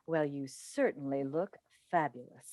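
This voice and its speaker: A woman acting a smooth, probing television talk show host with pointed questions, in a staged play reading on a video call. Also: sits low, unhurried, a little mellow. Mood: polite, pointed.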